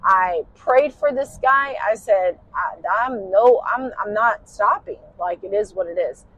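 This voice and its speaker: A woman's voice comes out husky and breathy, the rough edge of a late night with no sleep. She sits at a middle pitch and tells a personal story the way you would to a friend, wandering off track and dropping "you know" every few breaths. She is talking from the driver's seat of a car on a long night drive. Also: a little slow, fairly bright tone, poor audio. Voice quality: husky, low smoky voice. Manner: rambling, with many "you know" fillers. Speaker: podcast host. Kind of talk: casual storytelling. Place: inside a car while driving.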